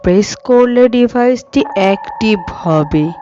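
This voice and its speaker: A woman's voice: fairly high pitch, expressive, takes it slowly. A woman voicing a mysterious, solemn blue whale who gives instructions in a children's cartoon dream. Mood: solemn, instructive. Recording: muffled sound with music behind.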